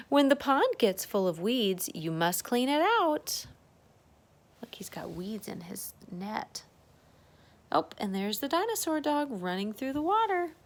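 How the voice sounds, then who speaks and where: Woman storybook reader for little kids: unhurried, very animated pitch, chatty asides and questions. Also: gravelly. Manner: expressive, exclaiming, asking young listeners questions. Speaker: children's circle-time teacher and storybook reader. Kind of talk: children's storybook read-aloud with chatty asides.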